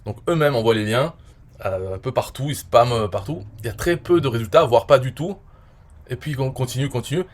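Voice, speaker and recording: A man from France in his forties talking casually and at length, rambling with many fillers and often trailing off quietly. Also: mid-range voice, fairly quick. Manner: rambling, frequent "euh" and "voilà", trailing off quietly. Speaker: online marketer reviewing his own interview. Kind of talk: casual explanatory monologue.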